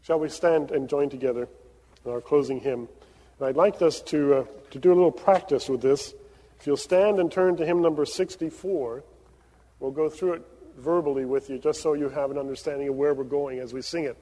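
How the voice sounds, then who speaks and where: A man's voice: on the high side, brisk, mellow. A man from Venezuela, a pastor leading prayers and giving announcements with many fillers. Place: church sanctuary. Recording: long reverb of a church sanctuary.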